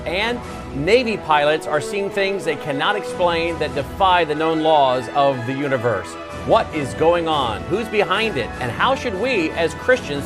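Higher-pitched male talk show host. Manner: friendly, emphatic introductions. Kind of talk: studio talk show hosting. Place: TV studio.

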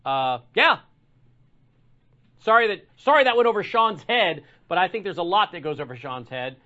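A man's voice: high voice, animated, moderate pace, sharp tone. A man hosting a live talk broadcast, delivering a combative opinion monologue with frequent repeats and restarts. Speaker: talk show host. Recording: dull lo-fi broadcast audio.

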